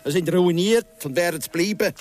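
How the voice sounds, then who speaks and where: A man's voice: high voice, normal speed, a little mellow, faint music behind, lightly acted. An actor playing a cornered guilty man in a radio drama, indignant and pleading, with curt protests in Swiss German. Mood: desperate bargaining.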